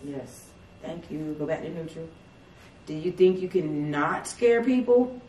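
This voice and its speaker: A woman with a drawl and a slightly low voice asks a spirit questions slowly and politely. She is heard from across a dim, echoey salon, picked up by a distant camera, with faint background noise. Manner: slow, calm, polite questioning. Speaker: paranormal investigator. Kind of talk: spirit communication session. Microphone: far camera. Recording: echoey room from far off.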